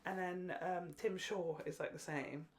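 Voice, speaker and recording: Animated, jokey young man, casual co-host. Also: high-pitched, a little slow, a crowd in the background. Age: late 20s. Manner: animated, jokey, tangent-prone, sweary. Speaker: podcast co-host. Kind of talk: casual fan podcast chat.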